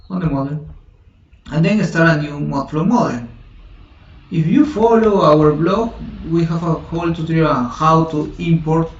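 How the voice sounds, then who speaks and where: A man whose first language is Spanish talks through a software tutorial slowly and haltingly, correcting himself as he goes. His voice is gravelly and a little high. He sounds set back from the microphone in a roomy space, on a dull, lo-fi recording. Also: far from the mic, slight background noise. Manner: halting, thinks aloud, many restarts and self-corrections.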